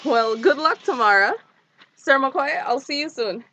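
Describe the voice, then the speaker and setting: High-voiced, inquisitive woman. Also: animated, a little slow, background noise. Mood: polite. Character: polite, inquisitive woman visitor, gently probing. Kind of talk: screen drama dialogue.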